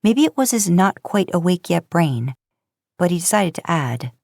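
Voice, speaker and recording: A woman's voice: on the low side, sing-song, moderate pace, vocal fry, a little mellow, no room sound, studio quality. A woman narrating a story as a podcast host, in audiobook style.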